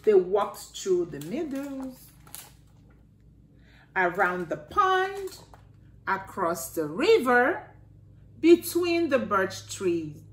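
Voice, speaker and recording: Middle-aged female storytime reader, unhurried and very animated, expressive phrasing, recorded in a home room. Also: mid-range voice. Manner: deliberate, expressive phrasing for children. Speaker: storytime host. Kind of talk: expressive children's picture-book reading. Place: small living room by staircase, indoors. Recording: roomy home echo.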